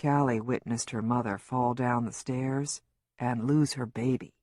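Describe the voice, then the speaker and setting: A woman voicing Lewis, a soft-spoken but firm man who reassures a distraught mother. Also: medium pitch, fairly even intonation, measured pace, no room sound, clean studio sound, pitched below the performer's own voice, lightly acted. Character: steady male agent, worried family friend.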